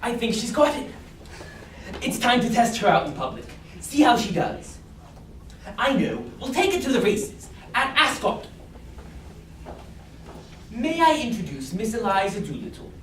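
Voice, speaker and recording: A woman's voice: on the high side, very animated, measured pace, pauses often. A woman playing a bossy, superior male professor in a stage musical, drilling commands in a clipped manner. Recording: echoey stage, mic far off, noise in the background.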